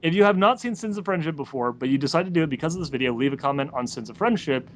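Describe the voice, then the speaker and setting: A man's voice: on the high side, lively intonation, rapid-fire, a little hiss or hum. A man hosting a casual group interview chat, stammering and repeating words as he goes. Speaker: podcast host.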